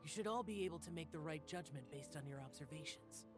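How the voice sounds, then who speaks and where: A man's voice: a little high, expressive, fast, natural voice. A man playing a stern, aloof young immortal demon slayer in a game cutscene, terse, formal and detached. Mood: cool refusal. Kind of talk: animated game cutscene dialogue. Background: background music.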